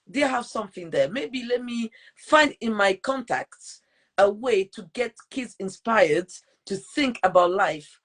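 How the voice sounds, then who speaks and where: A woman's voice: medium pitch, expressive, unhurried, fairly bright tone, husky. A woman from Portugal with a French accent, hosting a casual live podcast interview in a chatty, upbeat way. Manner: chatty, upbeat, with many fillers and restarts. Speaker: podcast host.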